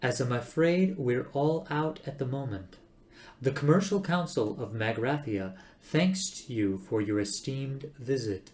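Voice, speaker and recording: A man's voice: mid-range voice, measured pace, a little mellow, a little hiss or hum, natural voice. A man voicing an old recorded announcer from an ancient planet, formal and coldly polite, with a hollow, reedy tone. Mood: courteous.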